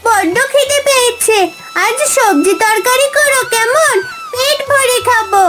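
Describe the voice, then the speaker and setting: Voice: very high-pitched, measured pace, noisy background, pitched above the performer's own voice. A performer voicing a hungry, fussy little village girl in a cartoon, pleading in a childish way. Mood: eager, hungry. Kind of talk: cartoon character dialogue.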